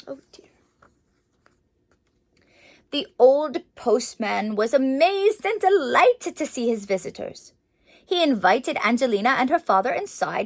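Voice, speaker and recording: High, very animated woman storyteller. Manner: theatrical, gushing, exclaims and pauses dramatically. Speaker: children's storybook reader in character as Mrs. Claus. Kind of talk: animated storytelling for young children.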